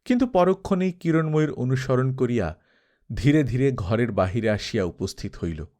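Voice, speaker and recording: A man narrating a classic novel as an audiobook, with solemn, expressive and measured phrasing. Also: medium pitch. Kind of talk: scripted literary narration, dramatic storytelling.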